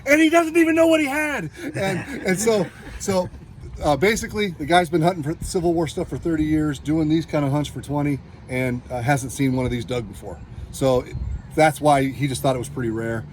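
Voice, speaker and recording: American guy rambling thru a casual story, kinda higher voice, bit of noise behind him. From California, United States. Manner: rambling, acts out quoted exchanges. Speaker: metal detecting hobbyist telling his story.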